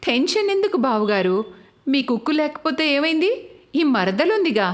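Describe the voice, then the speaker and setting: A woman voicing a hospitable, deferential younger sister, a village housewife in an animated family story. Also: high voice, normal speed. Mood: welcoming. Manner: eager, deferential, apologetic.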